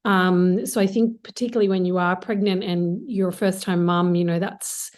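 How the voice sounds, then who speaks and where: A woman's voice: fairly low pitch, fairly even intonation, normal speed. A woman with an Australian accent, a guest on an interview podcast, answering at length in long, listing sentences. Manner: discursive, talks in long lists of clauses. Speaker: guest, marketing executive.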